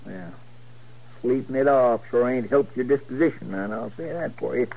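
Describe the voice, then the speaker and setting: An actor playing a folksy, fussy frontier deputy with a drawling, deferential rural Western accent in 1950s radio drama. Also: male voice, medium pitch, normal speed, vocal fry, mellow, lo-fi sound. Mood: huffy. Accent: rural Western American. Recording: noisy vintage radio recording with clicks.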